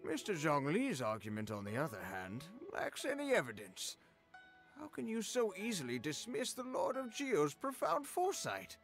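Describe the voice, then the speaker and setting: A man's voice: fairly high pitch, huge pitch swings, normal speed, soft background music. An actor playing an earnest, argumentative male scholar in a game, lecturing in an assertive, reverent way. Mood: skeptical, offended. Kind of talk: English game voice acting.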